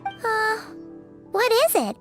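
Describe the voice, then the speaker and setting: A woman voicing a tiny, chatty, excitable fairy companion in a video game, with a squeaky childlike voice. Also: slow, soft background music, full character voice. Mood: curious, eager. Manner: refers to self in third person, exclamatory. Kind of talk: animated video game dialogue.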